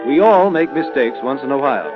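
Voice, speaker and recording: A man's voice: medium pitch, animated, dull audio. Formal vintage radio announcer, man, music behind. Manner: formal, emphatic, measured phrasing. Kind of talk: scripted vintage radio narration and sponsor announcement.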